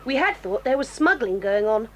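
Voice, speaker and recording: A woman with a British accent playing a bold, tomboyish girl who speaks briskly and cheerfully. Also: a little high, animated, close to an everyday voice.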